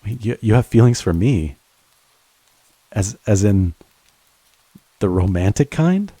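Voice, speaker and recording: A man performs a devoted, tender friend turned lover in a romantic audio drama. His voice is deep, dark and soft-edged with some huskiness. He speaks straight to the listener in an earnest, halting way. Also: lively intonation, no echo. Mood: concerned, gentle. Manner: halting, earnest, with stammered repeats.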